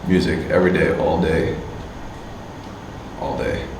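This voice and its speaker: A man from Canada answering interview questions casually, halting with many fillers and restarts. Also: deep voice, level tone. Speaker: musician and agency owner. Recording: distant mic, echoey room, faint hum.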